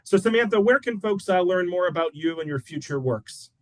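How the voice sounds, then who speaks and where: A man hosting a library author interview over a video call, asking questions in a halting way full of fillers. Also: high-pitched, lively intonation, dry recording. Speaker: library event host.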